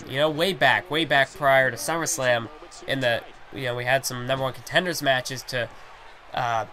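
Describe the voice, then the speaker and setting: A man with a strained, hoarse voice that is starting to give out, yet still bright and sharp in tone. He rambles through play-by-play commentary on a wrestling video game, padding his thoughts with filler phrases. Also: mid-range voice. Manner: rambling, heavy on fillers like "you know".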